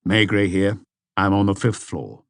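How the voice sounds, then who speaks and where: Audiobook police inspector played by a man: low, unruffled, courteous but persistent interrogator, dry sound. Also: fairly bright tone, dry recording. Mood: brisk, instructing. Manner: measured, politely insistent questioning. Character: calm, probing male police chief inspector.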